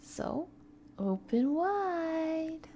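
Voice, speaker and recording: A woman acts out a young charmer from a visual novel, a man who teases and looks after his friend. The delivery is playful and coaxing, soft-edged and unhurried, over music. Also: very high voice, sing-song, pitched above the performer's own voice, heavily acted. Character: teasing, caring twenty-seven-year-old male charmer. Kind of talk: visual novel character reading.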